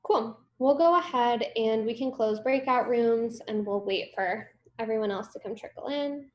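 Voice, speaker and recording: A woman's voice: on the high side, normal speed, highs cut off. A young woman leading a workshop discussion over a video call from home, upbeat and moving things along. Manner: upbeat, moves things along with "cool" and "great". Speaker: workshop instructor. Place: room at home. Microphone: video call on a laptop. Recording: echoey room.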